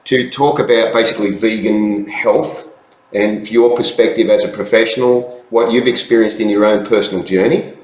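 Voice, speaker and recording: A man's voice: lowish voice, fairly even intonation, normal speed, a little mellow. An older man hosting a live-stream interview, affirming his guest with frequent yeahs. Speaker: host. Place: small room indoors. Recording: roomy echo.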